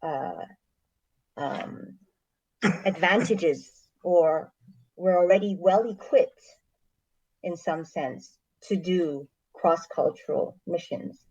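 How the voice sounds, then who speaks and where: A woman from Canada, a missionary, answering interview questions in a measured, thoughtful way with frequent pauses. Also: lowish voice, a little mellow. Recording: dull lo-fi recording.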